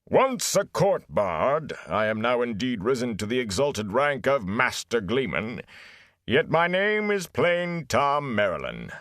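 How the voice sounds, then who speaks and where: A man doing a flamboyant old gleeman who booms like he's in a great hall and sneers at gossip. His voice swoops wildly up and down. The sound is dry and close. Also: huge pitch swings, measured pace, a bit bright, exaggerated voice. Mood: grandiose. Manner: grand, booming, scornful asides. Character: flamboyant older male gleeman, theatrical and scornful.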